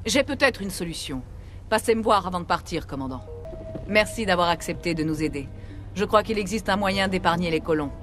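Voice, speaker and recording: A woman's voice: lowish voice, expressive, brisk, soft background music, close to an everyday voice. An actress playing a principled middle-aged mother and colony scientist in a game dub, firm and composed. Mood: reasoned, grateful. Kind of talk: dramatic French game dub acting.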